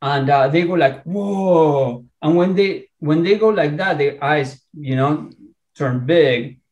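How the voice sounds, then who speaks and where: A man's voice: fairly high pitch, a little mellow, highs cut off. Argentine man, roomy echoey sound. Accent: Argentine Spanish. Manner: enthusiastic, halting with many restarts. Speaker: researcher and guest. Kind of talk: podcast interview.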